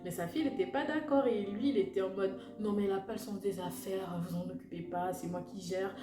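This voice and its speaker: A young woman from French Guiana chats through a storytime, slangy and loose, with plenty of fillers and little asides to her viewers. Her voice sits at an ordinary middle pitch. It bounces around an echoey room while loud music plays behind her. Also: quick pace. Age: young adult. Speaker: vlogger telling a personal story. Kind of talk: casual storytime chat.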